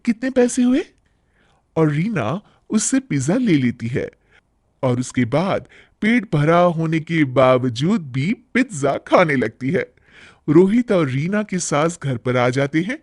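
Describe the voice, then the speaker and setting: A man's voice: on the high side, sing-song, slow pace, no room sound, clean studio sound. A man narrating a scripted moral story as its storyteller.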